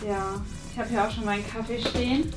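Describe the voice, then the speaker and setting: A woman's voice: on the high side, fairly even intonation, brisk, music in the background. A young adult woman chatting casually with colleagues indoors, recorded by a camera across the room. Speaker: colleague helping with the prank. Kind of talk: casual chat. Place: studio office kitchen, indoors. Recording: echoey room, distant camera microphone.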